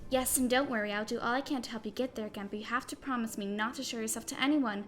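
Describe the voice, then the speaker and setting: A woman acts out a young female cartoon pony with a fairly high voice. She speaks with earnest, emphatic purpose in a fan-made dramatic reading, with faint music underneath. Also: steady tone, quick pace, close to an everyday voice. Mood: kind, reassuring. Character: young female pony, firm and commanding, turning kind.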